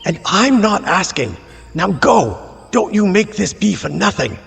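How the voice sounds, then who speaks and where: A man's voice: sits high, sing-song, a little slow, a bit bright, big-room sound, voice pushed up, full character voice. A man voicing a winded, fierce old warrior giving urgent, intense commands in an audio drama. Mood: fierce, insistent. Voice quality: breathless, strained, creaky.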